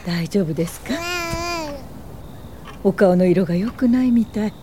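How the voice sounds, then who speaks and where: A woman's voice: mid-range voice, measured pace, noisy background, lightly acted. An actress playing an earnest woman welfare activist, narrating her past in careful, polite radio drama style. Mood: gentle concern. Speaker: narrator and lead character.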